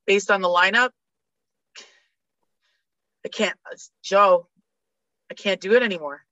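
A woman's voice: medium pitch, takes it slowly, bright, forceful tone, no room sound, muffled recording. A woman guest on a sports podcast, chatting casually with blunt opinions and frequent laughter. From Canada.

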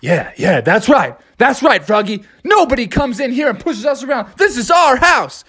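A man's voice: very high-pitched, very animated, moderate pace, a bit bright, breathy edge, voice pushed up, heavily acted. A man voicing a football team cheering and chanting together. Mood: fired up. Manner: rallying, chanting.

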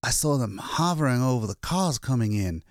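A man's voice: mid-range voice, animated, normal speed, no room sound, studio quality, voice pushed up, close to an everyday voice. A man voicing a desperate, exhausted man who escaped a captured town, speaking breathless and urgent. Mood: frantic.

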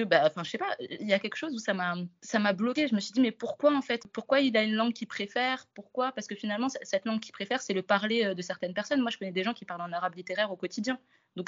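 A woman's voice: medium pitch, muffled recording. A woman in her twenties from France, a guest telling her story in a casual interview. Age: 20s.

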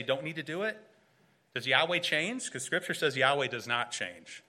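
A man with a bright, clear voice delivers a sermon to a congregation. He explains earnestly, with some filler and restarts, and he often poses rhetorical questions. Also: mid-range voice. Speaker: pastor.

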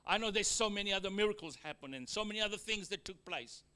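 A man with a Fijian accent delivers a sermon in a very high, piercingly bright voice. He punctuates his points with amens and call-outs to the congregation. He drops in joking asides and swings from loud declarations to near-murmurs. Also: expressive, fast, smooth. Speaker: preacher.

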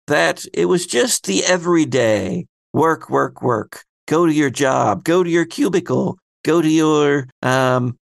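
A man voicing a cryptic, deliberate woman storykeeper. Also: fairly high pitch, gravelly, dry recording, studio quality, exaggerated voice. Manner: theatrical, deliberate, philosophical. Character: Phoebe, cryptic grandiose female keeper of stories.

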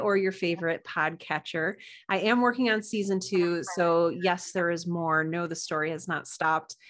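A woman's voice: mid-range voice, a bit bright. An American woman chatting casually as a podcast guest, friendly and self-deprecating. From United States. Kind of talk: casual chat.

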